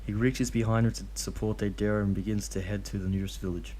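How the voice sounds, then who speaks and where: Young man, lowish voice, casual fanfic reading with slips. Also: fairly even intonation, fast, warm tone, slight background noise. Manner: casual reading, stumbles over names. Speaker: fanfic reader.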